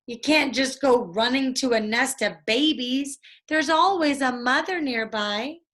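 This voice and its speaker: A woman's voice: high voice, a little slow, fairly bright tone, voice pushed up. A woman voicing an anxious, bossy young boy who gives urgent, emphatic commands in a storybook reading. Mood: scolding.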